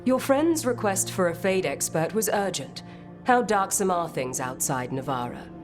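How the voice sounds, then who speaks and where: A woman's voice: on the low side, lively intonation, moderate pace, over music, lightly acted. An actress playing a composed, scholarly lady necromancer in a video game, speaking formally and precisely. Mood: composed, ominous.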